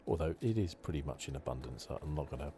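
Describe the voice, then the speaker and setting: Very deep, hushed man. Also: expressive, quick pace, background noise. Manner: soft-spoken, hushed, drifting into whispers. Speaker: gaming channel host. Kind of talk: ASMR video game playthrough commentary.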